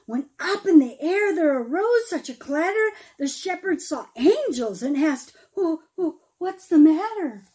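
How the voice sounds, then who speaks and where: A middle-aged woman reads a rhyming children's story aloud in an expressive, dramatic manner, pausing for emphasis. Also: sits high. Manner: dramatic, emphatic phrasing with pauses, explaining asides to children. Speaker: pastor reading a children's story.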